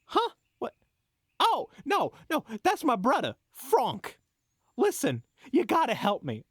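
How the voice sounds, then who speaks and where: A man playing a desperate, chatty male merchant in a fantasy audio roleplay, with a folksy, excitable, pleading way of talking. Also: very high voice, slow pace, husky, no echo, studio quality, full character voice. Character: desperate, chatty male merchant who was just robbed.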